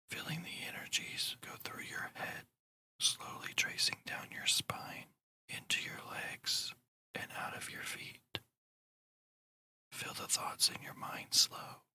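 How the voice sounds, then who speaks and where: Casting: ASMR meditation guide who whispers throughout, crisp and breathy, with slow, deliberate, soothing delivery. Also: sharp tone. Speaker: ASMR channel host and meditation narrator.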